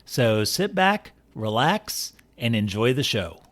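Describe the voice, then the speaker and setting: Slow-paced man introducing a show. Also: medium pitch, sing-song, fairly bright tone, no echo, studio quality. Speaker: podcast host introducing an episode.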